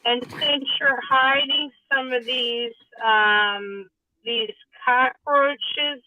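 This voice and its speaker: A woman chatting casually on a livestream in a rambling, drawn-out way, heard through tinny replayed audio. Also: high voice, fairly even intonation.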